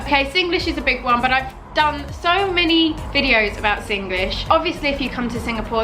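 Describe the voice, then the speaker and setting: A young British woman in her twenties, talking to camera in a casual, animated vlog and using "like" a lot. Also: high-pitched, poor audio. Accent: British English. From United Kingdom. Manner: chatty, animated, fills with "like". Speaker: vlogger. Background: background music.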